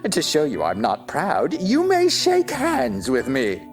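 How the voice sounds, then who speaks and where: A woman's voice: low voice, wildly swooping pitch, a little slow, faint music behind, exaggerated voice. A woman playing a pompous, touchy, argumentative talking egg in a stage-play style audio drama, with haughty, exaggerated emphasis. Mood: smug. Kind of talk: theatrical audio drama acting.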